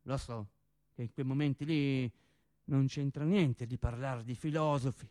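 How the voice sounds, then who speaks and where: An actor playing a naive working-class man in a radio drama monologue, telling his sad story in a colloquial, halting, wry way. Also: on the high side, creaky, a bit bright, no echo, clean studio sound, heavily acted. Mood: agitated, bitter. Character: naive working-class man recounting his cancer and death, resigned, tender.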